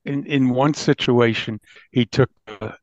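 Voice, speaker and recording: A man speaking as an investigative journalist in an interview, recounting a crime case in a halting but emphatic conversational way. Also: medium pitch, fairly even intonation, darkish tone, no echo. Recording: dull, band-limited audio.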